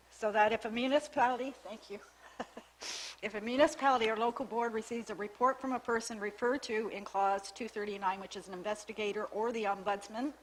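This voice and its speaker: A woman's voice: medium pitch, poor audio. Woman losing her voice, briefing a council. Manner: methodical, reads out clauses with small restarts. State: losing her voice, with frequent coughs. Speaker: municipal staff member presenting to town council. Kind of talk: formal briefing on legislation. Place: council chamber at a public meeting.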